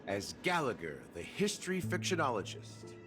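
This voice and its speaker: An actor playing a sly, weathered middle-aged man in a video game, theatrical and unhurried, with deliberate pauses. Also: medium pitch, wildly swooping pitch. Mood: wry, composed. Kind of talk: English video game voice acting. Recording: game dialogue over music.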